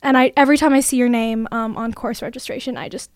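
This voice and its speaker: A woman's voice: fairly high pitch, steady tone, fast talker, no echo, clean studio sound. A woman in her twenties hosting a podcast, talking in an earnest, effusive casual way with lots of fillers. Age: 20s. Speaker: podcast host and student.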